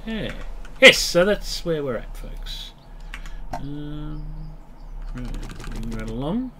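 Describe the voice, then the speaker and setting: A man's voice: on the high side, very animated, takes it slowly, bright, forceful tone, a little hiss or hum. A man in his late thirties with a stuffy nose from a mild cold, thinking aloud as he talks viewers through a game. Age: late 30s. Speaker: let's play host. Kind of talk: casual gameplay commentary.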